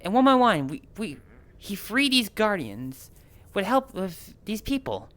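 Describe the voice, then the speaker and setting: Man putting on an eager high-voiced little water creature. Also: very animated, unhurried, fairly bright tone, full character voice. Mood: eager, proud. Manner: earnest, stumbling storytelling. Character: eager young male water Pokemon companion recounting adventures. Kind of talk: improvised online game roleplay.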